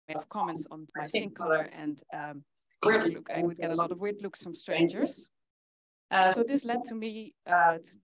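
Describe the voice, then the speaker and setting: A young woman from the Netherlands giving a conference talk in an earnest way, often pausing with fillers. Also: fairly low pitch, rough recording. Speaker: conference speaker. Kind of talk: presentation talk. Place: conference hall with audience.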